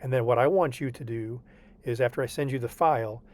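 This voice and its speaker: A man's voice: mid-range voice, brisk, darkish tone. A man tutoring a student over a video call, explaining homework patiently and reassuringly, often checking in with "okay?". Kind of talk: online tutoring session walking through homework.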